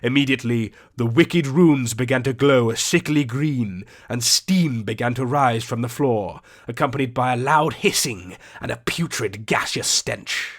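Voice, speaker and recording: A man narrating a fantasy audiobook in a theatrical, deliberate, scripted storytelling style. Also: medium pitch. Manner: theatrical, ornate, deliberate delivery.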